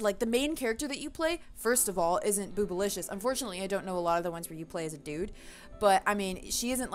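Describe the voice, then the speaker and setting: A woman's voice: medium pitch, fairly bright tone. A woman gaming creator giving a casual, opinionated rant to her viewers, speaking emphatically and informally in an exasperated way.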